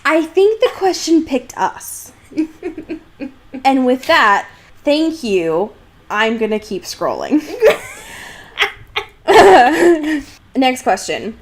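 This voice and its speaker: A woman's voice: high voice, big pitch swings, drawn-out words, long pauses, slight background noise. A young woman co-hosting a podcast in casual banter, irreverent and freely swearing in slang. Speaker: podcast co-host.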